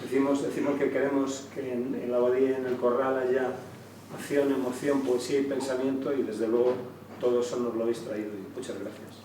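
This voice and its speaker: A man making spontaneous, reflective remarks at a theater discussion, halting and checking for agreement. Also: medium pitch, little pitch movement. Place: indoor theater venue during a public discussion. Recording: very echoey room, distant mic, faint noise.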